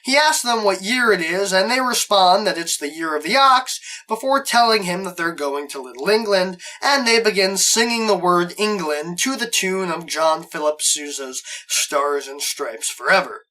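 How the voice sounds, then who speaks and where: Bright-voiced man narrating a review. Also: high voice, expressive, normal speed, few pauses, rough recording. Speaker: host, reviewer.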